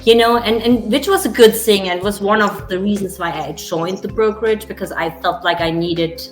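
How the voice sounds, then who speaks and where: A woman's voice: mid-range voice, moderate pace, reverberant, faint music behind. A woman from Germany with a German accent, chatting casually as a real estate agent guest, full of "you know" fillers. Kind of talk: casual interview chat.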